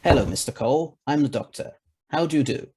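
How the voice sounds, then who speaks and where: A man's voice: on the high side, fast, background noise, natural voice. A man acting in an audio drama as a courteous, inquisitive investigator, speaking formally and politely. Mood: polite, probing.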